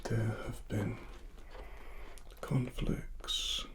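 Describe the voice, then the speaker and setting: A British man performing a softly spoken ASMR roleplay as a grave cyberpunk investigator, breathy and partly whispered, with deliberate pauses. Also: lowish voice, fairly even intonation, slight background noise. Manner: slow, deliberate, hushed, with long pauses. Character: grave cyberpunk investigator uncovering corporate wrongdoing.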